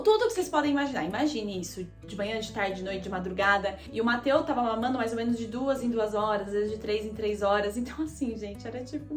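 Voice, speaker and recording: Brazilian woman, young, chatty vlog confession, quick delivery, echoey room, faint music. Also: a little high. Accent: Brazilian Portuguese. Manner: chatty, addresses viewers directly as "gente". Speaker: vlogger. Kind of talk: casual confessional storytelling to camera.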